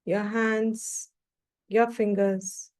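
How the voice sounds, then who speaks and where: A woman leading a guided meditation as a teacher, giving short, calm, measured instructions with long pauses between them. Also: medium pitch.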